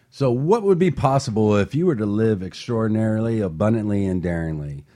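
A man's voice: fairly low pitch, warm tone. A man co-hosting a radio show in a studio, speaking in an emphatic, motivational way with upbeat scripted lines and banter.

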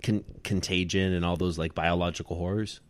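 A man's voice: low voice, steady tone, brisk. A man co-hosting a podcast in casual chat, making his points emphatically with repetition. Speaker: podcast co-host.

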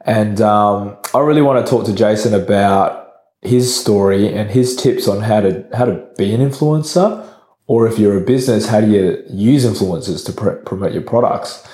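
A man with a somewhat low, croaky voice hosts a podcast in a relaxed, chatty way. His tone is dark and mellow, and the room around him rings with a noticeable echo. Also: moderate pace. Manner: relaxed, chatty, laughs often. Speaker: podcast host. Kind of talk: casual podcast chat.

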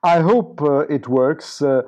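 A man's voice: on the high side, slow pace, darkish tone. A man speaking as an interview guest, giving earnest, discursive political commentary with emphatic lists and repetition.

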